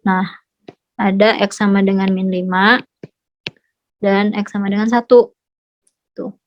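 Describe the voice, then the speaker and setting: A woman tutoring math in a casual, chatty way, often asking whether her students follow. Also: medium pitch. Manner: chatty, checks understanding often. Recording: slightly dull lo-fi audio.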